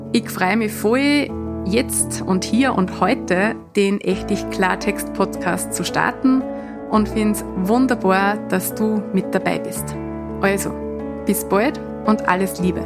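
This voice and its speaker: A middle-aged woman from Lower Austria with a Mostviertel dialect accent, earnest, hosting a solo coaching podcast. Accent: Mostviertel Austrian dialect with a touch of Salzburg. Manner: earnest, speaks in dialect rather than standard German.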